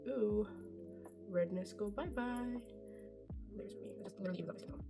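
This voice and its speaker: A woman's voice: medium pitch, brisk, music in the background. Chatty young woman doing a makeup tutorial. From Norway. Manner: chatty, rambling, self-deprecating, swears casually. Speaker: beauty vlogger. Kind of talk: casual makeup tutorial chat.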